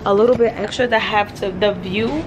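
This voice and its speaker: A chatty woman showing off a hotel suite, talking straight to her viewers in a mid-pitched voice. Steady background noise and room ambience run under her, as from a handheld camera. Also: moderate pace. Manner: chatty, addresses viewers as y'all. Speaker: travel vlogger co-host. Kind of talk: casual room-tour chat to viewers.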